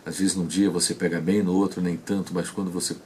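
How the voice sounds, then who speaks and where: A man with a very deep voice reflects on personal memories. He speaks haltingly, searching for his words, over faint background noise. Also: flat delivery, a little mellow. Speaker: shortwave listener being interviewed.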